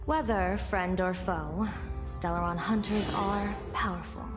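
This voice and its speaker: A woman voicing a composed, reassuring female mystic leader in a video game, speaking in a measured, formal way. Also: medium pitch, fairly even intonation, close to an everyday voice. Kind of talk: video game character acting. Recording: long reverb, music behind.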